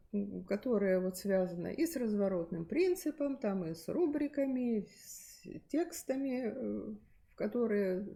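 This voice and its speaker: A middle-aged woman talks through a set of textbooks for a room of teachers, thinking aloud and pausing on fillers as she goes. Her voice is mellow and warm, sitting at an ordinary middle pitch. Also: creaky. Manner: hesitant with many fillers and restarts, explanatory. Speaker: presenter at a teachers' methods meeting. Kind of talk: spontaneous presentation of textbooks.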